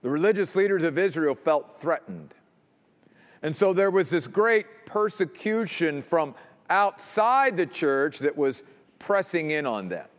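A man preaching a sermon as pastor, emphatic, dropping low and quiet to stress points. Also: expressive, measured pace, big-room sound.

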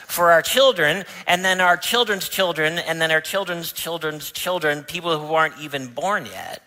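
A man's voice: a little high, moderate pace, sharp tone, poor audio. A man, a pastor, giving a sermon in a church. He tells a family story, then rises to emphatic preaching. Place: church sanctuary.

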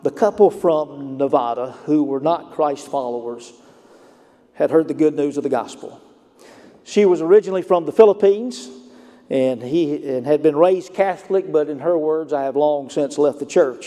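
A man with a Lower Alabama Southern accent preaching a sermon, moving from storytelling to emphatic points. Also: warm tone, long reverb tail. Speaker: pastor.

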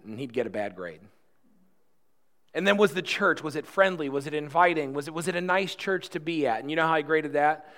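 A man's voice: fairly high pitch, fairly bright tone. Earnest forty-something man preaching. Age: 40s. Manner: conversational and earnest, with rhetorical questions and self-deprecating asides; repeats words and restarts often. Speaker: pastor. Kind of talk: sermon.

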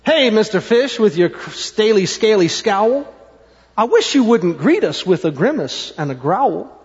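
A man voicing a gentle, politely reproachful jellyfish in a storybook reading. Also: high voice, big pitch swings, a little slow, voice pushed down. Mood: gently reproachful. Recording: muffled sound, long hall reverb.

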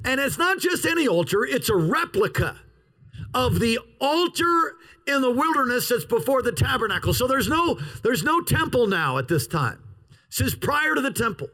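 Man, pastor, outdoor parking-lot sermon, high voice, dramatic pauses. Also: sing-song, sharp tone. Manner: emphatic, dramatic drawn-out pauses. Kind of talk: sermon with opening prayer.

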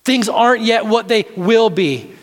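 Guy preaching a sermon, pretty high voice, earnest and repeats himself for emphasis, long echo of a big room. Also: expressive. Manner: earnest, deliberate phrasing with repetition for emphasis. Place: large reverberant room, church service. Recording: live sermon in reverberant hall.

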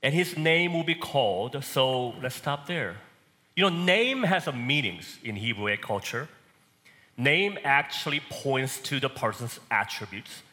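A man from Korea with a Korean accent, preaching a sermon and swinging between loud and soft emphasis. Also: medium pitch, long reverb tail.

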